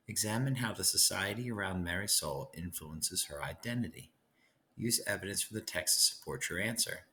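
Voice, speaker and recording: A man with a very low voice leads a recorded read-aloud lesson. He speaks as a teacher, addressing his students directly in an instructional way. There is faint noise behind him. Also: a bit bright.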